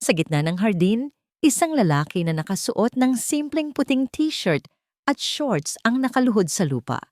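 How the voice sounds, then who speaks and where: A woman reading a dramatic love story as a narrator, in emotive, measured phrases. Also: fairly high pitch, big pitch swings, a little mellow, no echo, studio quality.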